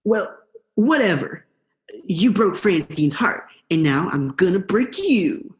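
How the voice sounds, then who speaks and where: Woman acting as male eel, low for a woman, very animated intonation, slangy stoner drawl, sardonic, radio play. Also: slow pace, rough recording. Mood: sardonic, menacing. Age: young adult.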